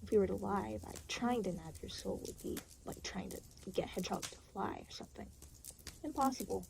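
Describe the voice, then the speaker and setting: Casting: woman as aloof demon for audio roleplay, creaky voice, dry sarcasm, exasperated and weary, faint background noise. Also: medium pitch, a little fast, natural voice. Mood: wry, defensive. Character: aloof, exasperated demon, reluctantly babysitting a new soul. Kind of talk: audio roleplay addressed to the listener.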